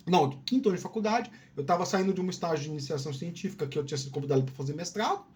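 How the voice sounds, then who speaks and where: A man's voice: fairly high pitch, lively intonation, very fast, a little mellow. A man giving casual career advice as a video host, informal and digressive, often repeating words and checking in with the listener. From Piauí, Brazil.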